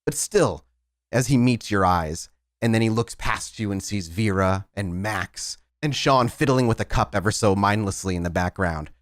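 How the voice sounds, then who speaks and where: A man running a tabletop game, improvising narration in a descriptive, halting way with dramatic pauses. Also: lowish voice, no room sound, studio quality. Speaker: game master.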